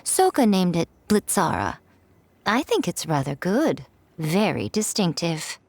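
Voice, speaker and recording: A woman voicing a sly, playful adult editor who teases others in a smooth, amused manner in a video game. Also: fairly low pitch, very animated, measured pace, background noise, heavily acted. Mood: teasing. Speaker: light novel editor.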